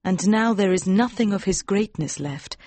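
A woman playing a devoted young woman in mourning in a dramatised audiobook, earnest and pleading, with a breathy voice. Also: mid-range voice, expressive, moderate pace, darkish tone, dry recording, close to an everyday voice. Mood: grieving, proud.

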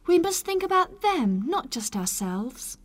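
A man voicing a troubled young woman torn between love and duty, in a high pleading voice. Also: very animated, unhurried, no room sound, heavily acted. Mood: earnest.

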